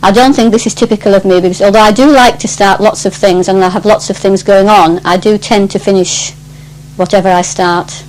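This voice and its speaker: An Englishwoman in about her sixties with a mid-pitched voice. She introduces herself in a clear, composed way, in full and orderly sentences. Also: steady tone, a little fast, darkish tone, slight background noise, low-quality recording. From Cumbria, England. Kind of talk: prepared self-introduction.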